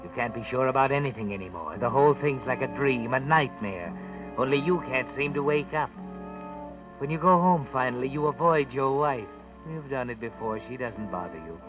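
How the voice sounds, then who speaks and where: Actor as a sinister old-time radio narrator, tinny sound. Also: male voice, mid-range voice, animated, moderate pace, lightly acted. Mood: ominous, mocking. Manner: ominous, knowing, second-person storytelling. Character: sinister, taunting male narrator addressing the guilty man. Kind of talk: 1940s radio drama narration. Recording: muffled old radio, music behind.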